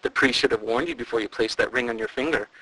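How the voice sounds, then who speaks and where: A man voicing a menacing male ghost wrapped in a burial shroud, moaning his dark pronouncements in a storybook reading. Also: medium pitch, fast, breathy edge, poor audio. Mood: ominous.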